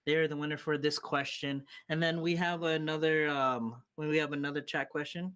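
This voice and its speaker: A man's voice: on the high side, fairly even intonation, normal speed, fairly bright tone, smooth. A man co-hosting a live radio talk show, joking and reading chat comments in a casual, playful way. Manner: playful, laughs often, reads chat comments aloud.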